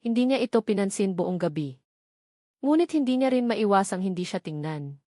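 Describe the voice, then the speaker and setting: A woman with a Filipino accent narrating a scripted romantic drama in a measured, dramatic way with emphatic short phrases. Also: medium pitch, animated, mellow, dry recording, clean studio sound.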